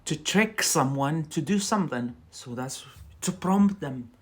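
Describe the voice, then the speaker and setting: An English teacher hosting a casual live lesson, speaking haltingly and repeating words as drills while chatting with viewers. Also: medium pitch, animated. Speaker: English teacher, livestream host.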